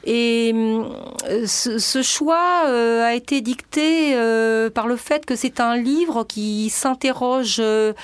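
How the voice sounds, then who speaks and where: A woman's voice: a little high, smooth. Hesitant woman, native Spanish speaker. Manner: hesitant, many filler pauses. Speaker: guest, editor and translator. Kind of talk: radio interview.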